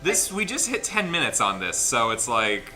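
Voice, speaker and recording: A man's voice: mid-range voice, sing-song, moderate pace. Man gaming host over game music. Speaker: gaming channel host reading a visual novel aloud. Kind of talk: casual group let's play with read-aloud narration. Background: game music behind the voice.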